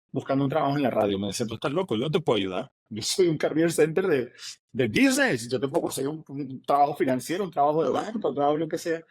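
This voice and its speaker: A middle-aged man with a Venezuelan accent, an author telling his story as an interview guest, animated and acting out what others said. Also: a little high, breathy edge, no room sound, studio quality. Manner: animated, fast, acts out quoted dialogue.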